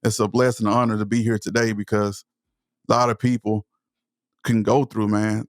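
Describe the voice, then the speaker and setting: A man's voice: fairly low pitch, gravelly, dry recording, studio quality. A man in his thirties from East Texas with a Southern accent, speaking with conviction and passion as a podcast guest. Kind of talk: podcast interview.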